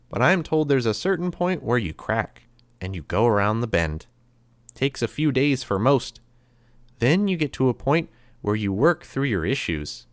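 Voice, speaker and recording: A man voicing a cruel, calm immortal boy who talks softly and slowly with flat, cheerful menace. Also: medium pitch, dry recording, pitched above the performer's own voice. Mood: calmly sadistic. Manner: soft, slow, menacing and flatly cheerful. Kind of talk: audiobook character voice.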